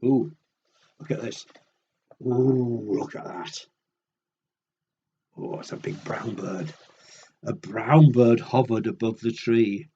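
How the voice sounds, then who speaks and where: A man's voice: medium pitch, slow pace, creaky, long pauses, warm tone, slightly rough, highs cut off. A man reading a picture book to children expressively, with chatty conversational asides to his young listeners. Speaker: children's storyteller.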